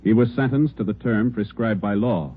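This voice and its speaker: A man announcing in a formal 1950s radio style, authoritative and measured. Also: fairly low pitch, a little hiss or hum. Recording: dull old radio recording with music.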